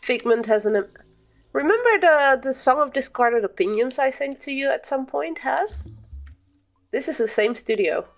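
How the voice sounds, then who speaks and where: A woman's voice: sits high, lively intonation, moderate pace. A young adult woman livestreaming a game, chatting casually and playfully with viewers and exclaiming at the screen. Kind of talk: casual gaming stream chat.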